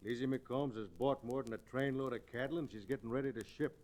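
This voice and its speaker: An American outlaw henchman played by a man in a vintage radio Western. His voice sits at an ordinary middle pitch as he talks like a villain's sidekick. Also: a little fast, darkish tone, close to an everyday voice. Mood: conspiratorial. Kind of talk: old-time radio Western acting.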